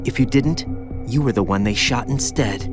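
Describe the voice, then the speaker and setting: A man's voice: mid-range voice, moderate pace, lightly acted. Man playing a haunted, brooding young soldier. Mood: bitter confession. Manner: anguished, confessional, brooding. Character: haunted young male soldier, former child soldier, bitter and guilt-ridden. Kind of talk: dramatic video game cutscene acting. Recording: music behind the voice.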